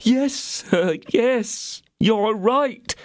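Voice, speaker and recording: A man voicing an anxious, fussy innkeeper who whines and pleads in a high, strained voice. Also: drawn-out words, dry recording, clean studio sound. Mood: fretful, pleading. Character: anxious wailing male innkeeper, fussy and fretful.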